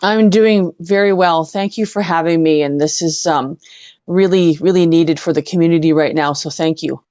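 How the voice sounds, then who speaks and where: A middle-aged woman with a Canadian accent, telling personal stories as an interview guest in an earnest, halting way. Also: low-pitched, dark tone, dry recording, dull audio. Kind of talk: personal storytelling in conversation.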